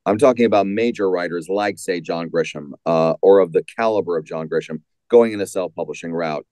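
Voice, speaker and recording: A man speaking as an author guest in an interview, conversational and emphatic, with deliberate phrasing. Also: deep voice, darkish tone, no echo.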